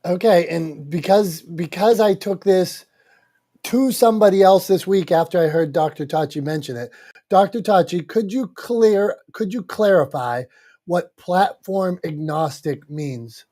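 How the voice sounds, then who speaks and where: Mellow-voiced man moderating a panel. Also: high voice, a little slow. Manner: friendly moderator who calls on speakers and hands over the floor. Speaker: co-host and moderator. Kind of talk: casual panel discussion in a social audio room.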